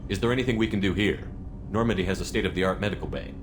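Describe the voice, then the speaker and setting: A man playing an earnest, steady starship commander in a video game, speaking in a direct, businesslike way. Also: low voice, very fast, noisy background, close to an everyday voice. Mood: concerned, curious. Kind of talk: video game character acting.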